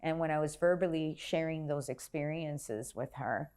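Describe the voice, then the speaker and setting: A woman's voice: low-pitched, normal speed, darkish tone. A woman in her forties from Miami, speaking as an interview guest in a reflective, searching way, with fillers and restarts. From Miami, Florida. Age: 40s. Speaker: guest, healer and yoga instructor. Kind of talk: casual interview conversation.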